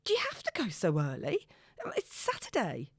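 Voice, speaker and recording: A woman's voice: on the low side, huge pitch swings, normal speed, husky, no echo, studio quality, exaggerated voice. A woman voicing an eager, anxious, giggly single woman smitten with her date, nervous and eager to please, in an audiobook. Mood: sheepish, needy. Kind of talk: audiobook character dialogue.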